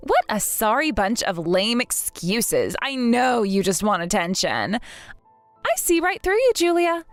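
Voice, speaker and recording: Woman doing a bratty mean girl in her late 20s, high sneery mocking voice, swoops all over, dry close sound. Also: very animated, slow pace, dry recording, soft background music, full character voice. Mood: sneering. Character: spiteful bratty young woman, the groom's younger sister.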